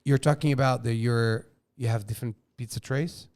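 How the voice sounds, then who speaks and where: A man from Portugal speaking English with a Portuguese accent, a restaurant entrepreneur telling lively stories as a guest, often restarting and repeating phrases. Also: medium pitch, normal speed. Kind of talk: casual storytelling interview.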